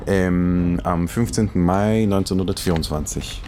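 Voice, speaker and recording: A middle-aged man from Israel speaking in a halting, conversational way, as in a documentary dialogue. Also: low-pitched, steady tone, dark tone, slight background noise. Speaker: radio producer searching for relatives.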